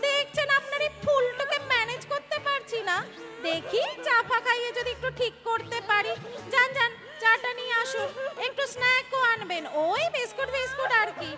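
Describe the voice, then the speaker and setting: A woman's voice: very high-pitched, normal speed, loud music behind the voice. A woman playing a brash young job-seeking maid who is cheeky, bossy and impatient in a dramatised play reading. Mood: brisk, cheeky.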